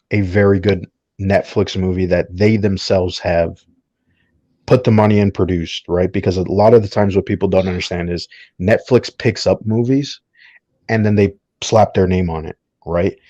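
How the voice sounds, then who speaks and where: A man's voice: sits low, steady tone, moderate pace, mellow, no echo, muffled recording. A man speaking as a podcast panelist in a casual discussion, opinionated and often tagging his points with a question to seek agreement.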